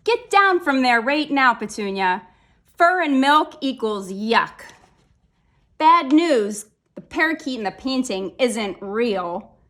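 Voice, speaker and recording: A woman's voice: sits high, very animated, takes it slowly, a bit bright, heavily acted. A woman voicing a fussy papa cat who bosses kittens around in a storybook, scolding and emphatic.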